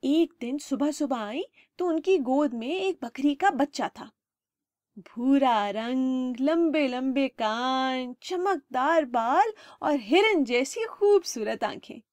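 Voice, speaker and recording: A high-pitched woman narrates a story for children. She reads expressively, as a storyteller does. The sound is close and dry, with very little room on it. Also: expressive, a little mellow, dry recording.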